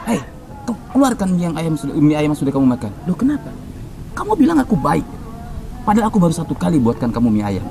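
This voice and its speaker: Man playing a stern, raised-pitch noodle seller. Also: sing-song, fast, vocal fry, dark tone. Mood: angry demanding. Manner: insistent, scolding. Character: stern scolding noodle seller, lecturing a runaway child. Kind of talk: puppet storytelling for children.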